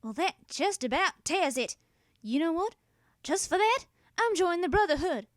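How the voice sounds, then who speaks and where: Woman doing sassy goth teen girl, high voice, sarcastic n short tempered, cartoon parody, dry audio. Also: sing-song, normal speed, no room sound. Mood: fed up. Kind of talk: abridged cartoon parody dub.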